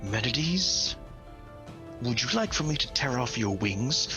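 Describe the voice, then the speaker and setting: A man's voice: medium pitch, big pitch swings, slow pace, music in the background. A man playing a stern bird-folk warrior hero in a tabletop fantasy game, speaking in a grave, imperious way. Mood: menacing.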